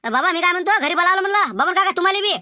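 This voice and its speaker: A man voicing a bossy, impatient cartoon villager who nags and scolds loudly in a Varhadi Marathi accent. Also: very high-pitched, expressive, rapid-fire, dry recording, studio quality, exaggerated voice. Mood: urgent. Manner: nagging, loud, scolding. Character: bossy, impatient villager summoning people home. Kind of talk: comic cartoon village dialogue.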